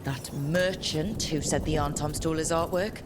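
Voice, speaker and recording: A woman playing a calm, determined young adventurer leading a party in a video game, with game sound effects behind her. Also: deep voice, normal speed, noisy background, lightly acted. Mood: focused.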